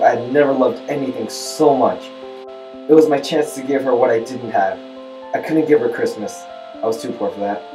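Guy playing bitter haunted young dad, dramatic first person narration, mid pitched, guitar music under it. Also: warm tone, lightly acted, low-quality recording. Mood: tender, determined. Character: haunted poor young father, son of an addict, bitterly confessing.

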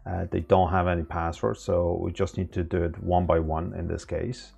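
A man in his thirties giving a relaxed step-by-step tech tutorial with lots of fillers, recorded at a desk indoors. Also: low-pitched, normal speed, gravelly, slightly rough. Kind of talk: casual screen-recorded tutorial. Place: small room indoors.

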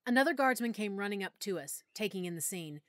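A woman narrating a full-cast audiobook in first person, with expressive, clear storytelling. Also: mid-range voice, fast, no echo, clean studio sound. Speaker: narrator and lead character.

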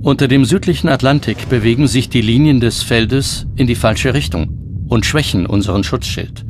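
A man's voice: medium pitch, normal speed, background noise. A man delivering scripted narration for a documentary.

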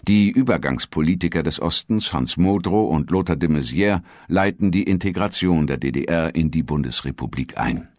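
A man reading a scripted documentary narration in a measured, formal manner. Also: low voice, no echo, clean studio sound.

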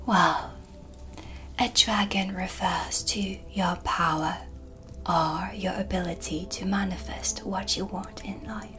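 A woman playing a brooding, blunt dark witch in an ASMR roleplay, speaking in a breathy, deliberate and ominous way with pauses. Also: fairly low pitch, fairly even intonation. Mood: grave, explaining. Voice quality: breathy whisper. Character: dark witch, brooding, blunt, mysterious tea-reading hostess. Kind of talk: soft-spoken ASMR cinematic roleplay. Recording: background music under close whisper. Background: ambient music.

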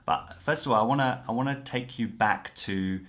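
A man's voice: mid-range voice, moderate pace, fairly bright tone. A man in his thirties hosting a casual interview over a video call from a room at home, friendly and full of restarts. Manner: friendly, with frequent fillers and restarts. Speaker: host, French coach.

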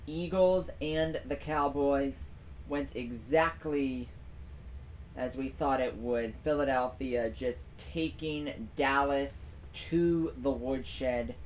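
Slow, emphatic young man recapping football. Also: mid-range voice, darkish tone, a little hiss or hum, poor audio. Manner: emphatic, repeats phrases for effect. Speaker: sports streamer host. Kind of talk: solo sports recap stream. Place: small room at home with a TV and jerseys on the wall.

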